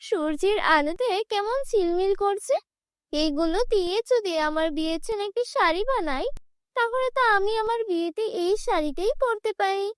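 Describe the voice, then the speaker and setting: A woman acts out a poor young village bride in a fairy-tale cartoon. Her voice is extremely high and dry, plaintive yet stubborn and proud, with emphatic delivery. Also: expressive, moderate pace, no room sound. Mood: delighted.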